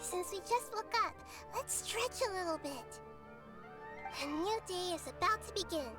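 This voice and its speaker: A woman voicing a gentle, childlike young goddess in a video game, speaking softly. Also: extremely high pitch, expressive, measured pace. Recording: game audio with music behind.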